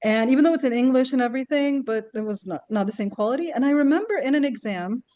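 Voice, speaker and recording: Talkative woman from kuwait, bit high voice, laughs a lot telling stories. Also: animated, quick pace, dark tone. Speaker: guest, educator and researcher. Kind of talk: casual podcast conversation.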